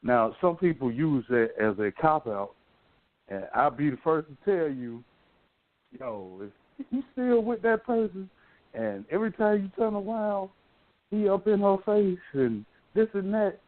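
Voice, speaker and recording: An American man co-hosting a radio talk show, speaking casually and candidly in colloquial, halting phrases. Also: high voice, sing-song, dry recording. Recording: narrow phone-like line, dry.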